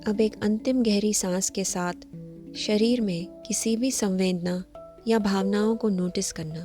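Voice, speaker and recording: Woman guiding meditation over soft music. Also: mid-range voice, unhurried. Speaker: meditation guide. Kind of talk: scripted guided meditation. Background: background music.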